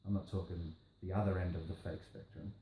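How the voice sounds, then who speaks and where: A man's voice: deep voice, fairly even intonation, a little fast, warm tone. An Australian man, a rapper, talking in a casual interview and rambling, restarting his sentences and repeating himself often. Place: indoor room, on a couch. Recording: roomy echo, faint background noise.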